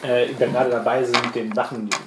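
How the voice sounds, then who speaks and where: A man's voice: mid-range voice, little pitch movement, fast. A man running a tabletop role-playing game, explaining scenes and rules in casual, colloquial speech. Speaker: game master. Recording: roomy, clearly noisy room recording.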